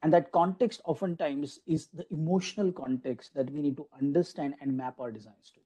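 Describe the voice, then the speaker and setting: Soft-toned man giving a relaxed online talk. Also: fairly high pitch, fairly quick, slightly rough. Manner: conversational, many restarts and "you know" asides. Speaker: guest lecturer at a faculty development program. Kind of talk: online lecture with slides.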